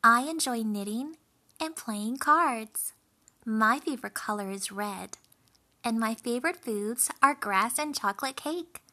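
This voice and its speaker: A small, clever young goat from a children's tale, put on by a woman. The voice is lifted and a little husky. It talks in a friendly, polite, emphatic way, taking its time. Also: a little high, unhurried, pushed and bright, pitched above the performer's own voice. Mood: cheerful, friendly.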